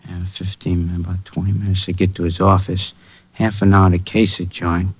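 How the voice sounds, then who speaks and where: An actor playing a scheming small-time hoodlum with gruff tough-guy talk, in an old radio crime drama. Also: low voice, little pitch movement, normal speed, highs cut off. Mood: menacing.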